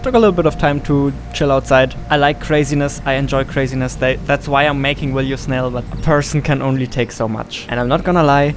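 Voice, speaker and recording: A man's voice: mid-range voice, steady tone, brisk, hardly any pauses. A man hosting an indie game devlog in a casual, informal way with self-deprecating humor. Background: background music.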